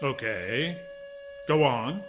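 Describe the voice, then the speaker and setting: A man's voice: medium pitch, animated, very slow, over music. A man playing a suspicious, sardonic and defiant military commander in a science fiction radio play, impatient and dryly sarcastic. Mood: sardonic, wary.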